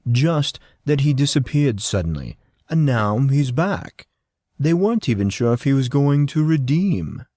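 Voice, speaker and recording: A man's voice: mid-range voice, very animated, unhurried, dark tone, no room sound, studio quality, voice pushed up. A man voicing gossiping, disapproving parents in an audio drama, conspiratorial and scandalised.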